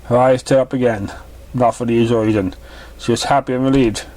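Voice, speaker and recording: A man's voice: medium pitch, steady tone, a little fast, a little mellow, rough recording. A man with a mid-range voice narrates a piece of fan fiction. He reads like an amateur, unevenly, tripping over words and correcting himself as he goes. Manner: stumbling, uneven reading with misreadings.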